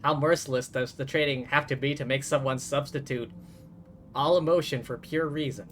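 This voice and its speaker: A man's voice: medium pitch, steady tone, normal speed, a bit bright, a little hiss or hum. A man streaming a video game live, commenting and reading dialogue aloud.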